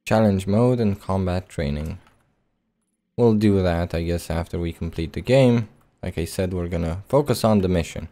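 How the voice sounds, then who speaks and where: A man's voice: sits low, moderate pace, warm tone. A man giving casual, informal gameplay commentary as a let's play host, a little self-deprecating.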